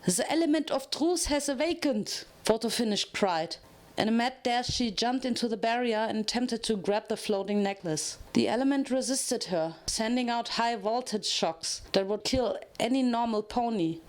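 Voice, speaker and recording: A woman's voice: on the high side, normal speed. A woman narrating a scripted story as a dramatic reading.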